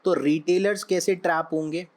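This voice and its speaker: A young man teaching a trading lesson, his voice sitting a little high for a man. He sounds slightly hoarse. He talks in a loose, conversational way and keeps checking that his listeners follow. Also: fairly even intonation, moderate pace. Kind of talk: explaining lesson with analogies.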